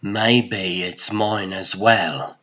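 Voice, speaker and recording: A man voicing a weary, resolute sorcerer in a comic dub, speaking with husky, restrained firmness. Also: fairly low pitch, fairly even intonation, bright, forceful tone, muffled recording, exaggerated voice. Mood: quietly resolute. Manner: restrained, measured, firm. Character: weary, resolute sorcerer standing up to an old friend. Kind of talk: dramatic comic-dub acting.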